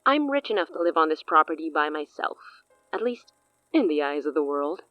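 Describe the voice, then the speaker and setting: An actress playing a grandiose, amused, long-lived sorceress in a horror audio drama, speaking eloquently and theatrically with hushed asides. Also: female voice, deep voice, very animated, fairly quick, dry recording, muffled recording, soft background music. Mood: amused, wistful.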